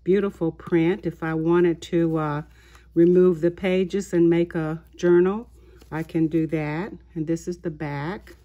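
A woman hosting a haul video of her craft purchases, talking in an unhurried way with many small pauses and fillers. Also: fairly low pitch, steady tone, lo-fi sound. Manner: slow, unhurried, halting with fillers. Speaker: craft channel host. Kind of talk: show-and-tell haul video. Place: indoors at a tabletop, voice-over of hands.

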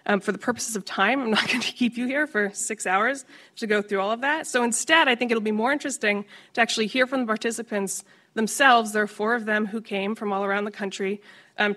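Woman presenting report findings on a conference stage; fluent, a touch high-pitched. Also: fairly bright tone. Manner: fluent, with frequent ums. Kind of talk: panel presentation.

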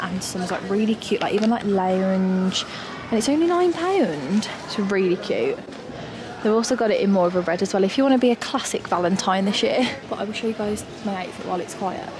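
A woman, chatting excitedly in a casual shopping vlog, filmed on a handheld phone inside big stores. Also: medium pitch, background noise. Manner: gushing, upbeat, lots of "like". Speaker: vlogger. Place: large indoor shopping stores. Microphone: handheld phone at arm's length. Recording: loud store ambience behind voice.

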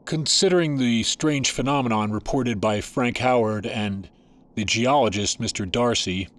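An actor playing an evasive scientist-doctor in an audio drama, speaking in a measured, formal, clinical way. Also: male voice, medium pitch, close to an everyday voice. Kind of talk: dramatic audio fiction acting.

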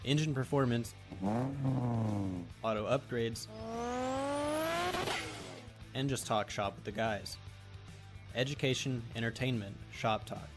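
A man's voice: mid-range voice, fairly even intonation, takes it slowly, long pauses. A man reading a promo as a podcast host. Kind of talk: promo read. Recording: guitar music behind the voice.

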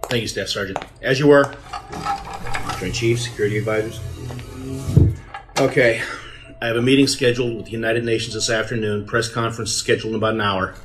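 A man playing a grave President in a science fiction audio drama, measured, pondering and authoritative. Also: fairly low pitch, steady tone, music in the background, lightly acted, low-quality recording.

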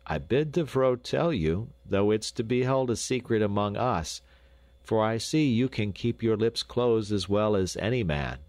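A man's voice: lowish voice, lively intonation, a little slow, darkish tone, no echo, pitched above the performer's own voice. A man voicing a plain-spoken, hearty peasant father in a storybook, using old-fashioned rustic speech. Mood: earnest.

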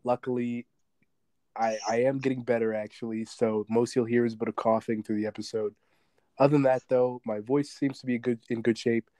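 Young man, sore throat, slight rasp, rambling podcast chat, dry close sound. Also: mid-range voice, fairly even intonation, a little fast, mellow, no room sound. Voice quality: slightly raspy. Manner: rambling, laughs often, many restarts. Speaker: podcast co-host. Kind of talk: casual chat.

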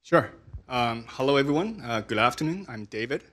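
A man's voice: medium pitch, animated, normal speed, fairly bright tone. Friendly man on a panel. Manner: friendly, many "uh" fillers. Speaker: engineering lead, panelist. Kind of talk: panel talk. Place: stage of a large hall with audience. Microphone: handheld mic.

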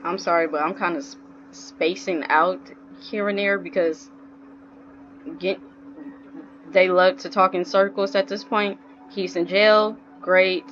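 A woman's voice: on the low side, fairly even intonation, a little slow, a bit bright, a little hiss or hum. A young woman giving casual, off-the-cuff commentary on a game, on a muffled home microphone. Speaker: gaming reaction streamer. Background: faint game music.

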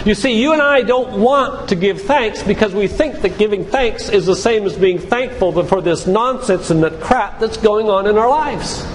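An older man delivers a sermon-like lecture with emphatic, preacherly phrasing. His voice sits a little high for a man. It rings through a church hall with long reverb and some noise behind it. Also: normal speed, hardly any pauses. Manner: emphatic, preacherly, with rhetorical questions. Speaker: physician giving a faith-based lecture. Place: church, speaking to a congregation.

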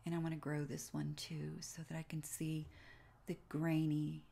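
A woman giving casual step-by-step narration for a software tutorial, pausing between short phrases. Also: sits low, monotone, gravelly. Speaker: tutorial host.

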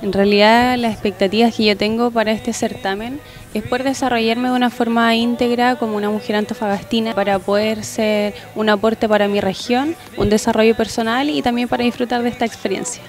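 A woman's voice: on the high side, flat delivery, brisk, soft background music. A twenty-three-year-old Chilean woman giving composed, articulate interview answers. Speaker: pageant contestant.

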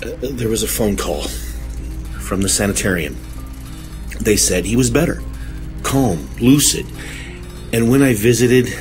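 An actor playing a shaken, grave man making a halting, brooding confession in a horror audio drama. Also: fairly low pitch, music in the background, natural voice. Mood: weary, grave.

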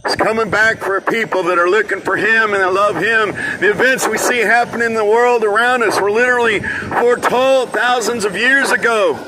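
An older man with a high voice proclaims a sermon on a busy street corner, calling out to people walking past. He is caught from across the road by a distant camera, with traffic noise clearly audible around him. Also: steady tone, moderate pace, hardly any pauses. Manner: loud, proclaiming to passersby. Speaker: street preacher. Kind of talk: open-air street preaching. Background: passing cars and trucks.

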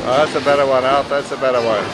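A man's voice: medium pitch, steady tone, fast, heavy background noise. A man filming on a handheld camera in a bowling alley, giving casual, encouraging live commentary in short exclamations. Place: large bowling alley, indoors.

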